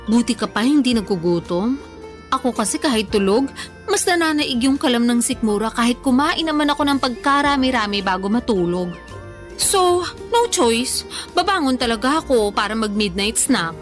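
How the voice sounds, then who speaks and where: A woman's voice: on the high side, animated, moderate pace, close to an everyday voice. An actress playing a playful, food-loving young woman in a Tagalog radio drama, chatting casually in Taglish with a Filipino accent and a teasingly defensive manner. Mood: playful, insistent. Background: background music.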